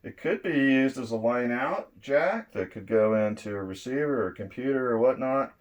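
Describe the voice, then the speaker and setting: A middle-aged man giving a casual, unhurried hands-on demonstration, explaining each step as he goes. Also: mid-range voice, animated. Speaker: presenter of electronics demo videos. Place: indoors at a draped table.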